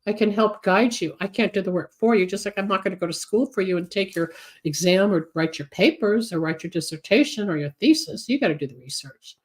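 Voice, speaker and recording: A woman's voice: mid-range voice, animated, brisk. Woman, seventies, psychic show host, chatty rambling monologue, congested, recovering from a cold. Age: 70s. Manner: chatty, rambling, switches topics, gives advice. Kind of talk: solo live show monologue, reading viewer comments.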